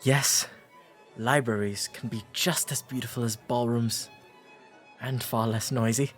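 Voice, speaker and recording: A man acts out a shy, courteous nineteenth-century gentleman with an English accent for a romantic roleplay drama. His pitch is average and his diction is formal and old-fashioned, delivered with gentle hesitancy. Soft music plays faintly behind him. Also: steady tone, a little slow, natural voice. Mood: earnest, polite.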